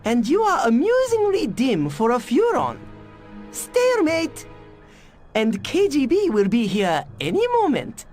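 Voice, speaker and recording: An actress playing a proud, clever Japanese scientist in a video game, with a Japanese accent and stilted, formal phrasing. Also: female voice, high-pitched, huge pitch swings, measured pace, darkish tone, faint music behind, full character voice. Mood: sly, insistent. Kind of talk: video game cutscene voice acting.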